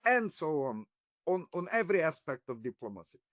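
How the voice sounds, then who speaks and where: An older man with a Hungarian accent, speaking haltingly as an interview guest. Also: a little high, big pitch swings, no room sound, clean studio sound. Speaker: interview guest, retired diplomat. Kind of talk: interview talk.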